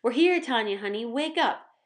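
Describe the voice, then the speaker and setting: A woman's voice: fairly high pitch, animated, normal speed, lightly acted. A woman voicing an old grandmother who is firm, folksy and affectionate, in a read-aloud storybook. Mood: gentle. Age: older. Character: old Southern grandmother, warm, firm, unfussed.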